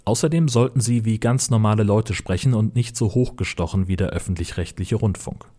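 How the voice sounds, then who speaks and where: Man, radio reporter, scripted narration, lowish pitch, warm mellow timbre. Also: brisk.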